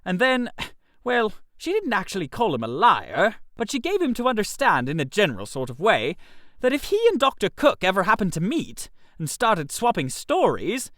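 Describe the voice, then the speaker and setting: A man narrating a comic first-person story in a theatrical, expressive manner. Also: high-pitched, dry recording, clean studio sound.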